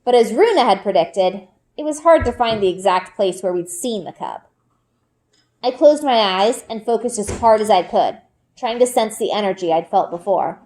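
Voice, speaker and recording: A woman reading a children's book aloud like a teacher, with expressive storytelling emphasis and dramatic pauses. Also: mid-range voice.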